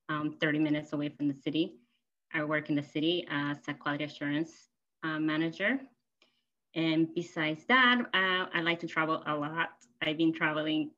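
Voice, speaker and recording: A woman in her thirties from Peru, with Spanish as her first language, chats as a podcast guest. Her voice is very low yet bright and forward, and she rambles cheerfully through personal stories. The audio is a little dull and lo-fi. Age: 30s. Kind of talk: casual personal storytelling.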